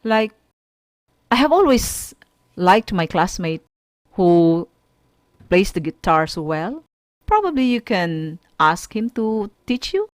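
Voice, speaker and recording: A woman with a Filipino accent giving an instructional radio talk with tips and examples, deliberate and emphatic, repeating key phrases. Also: fairly high pitch, a little mellow, no echo, studio quality. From Philippines. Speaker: speaker giving a mental health lesson on a school radio programme.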